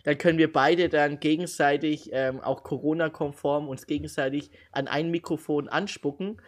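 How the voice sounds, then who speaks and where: A man co-hosting a casual podcast, talking in a lively way, laughing often and speaking straight to the listeners. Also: a little high, moderate pace. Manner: animated, laughing, addresses listeners. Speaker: podcast co-host. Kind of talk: casual chat. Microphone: home podcast mic.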